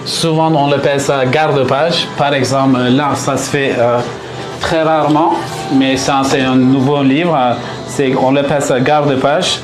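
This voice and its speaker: A man from Istanbul with a Turkish accent, explaining his paper marbling craft to visitors in his small workshop, in halting French with many pauses. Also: medium pitch, fairly even intonation, echoey room, over music, poor audio. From Turkey (Istanbul).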